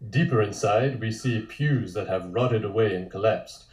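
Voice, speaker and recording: Man, narrator, reading stage directions, dryly wry, relaxed mellow timbre, echoing room. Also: lowish voice, normal speed. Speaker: narrator of a comic table read. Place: indoor room, multistream call. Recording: roomy, echoing home recording.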